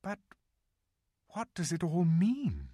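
A man's voice: a little high, wildly swooping pitch, slow pace, mellow, breathy edge, dry recording, clean studio sound, voice pushed up, exaggerated voice. A man voicing an anxious, timid girl, speaking in a shaky, hesitant, raised voice.

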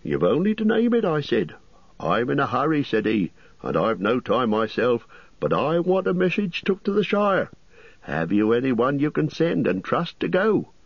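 A man voicing a fussy, apologetic middle-aged innkeeper who chatters and rambles, with a husky voice. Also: mid-range voice, huge pitch swings, normal speed, no room sound, lo-fi sound, pitched above the performer's own voice, full character voice. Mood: fussy, rambling.

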